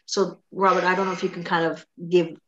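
A woman's voice: on the low side, little pitch movement, brisk, a bit bright, lo-fi sound, slight background noise. A woman with a Trinidadian accent hosting an interview in a chatty way with asides. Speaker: podcast host.

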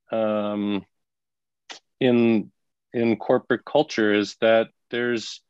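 A man, a consultant guest in an interview, speaking thoughtfully and haltingly with many fillers. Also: lowish voice, dry recording, lo-fi sound. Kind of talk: reflective interview talk.

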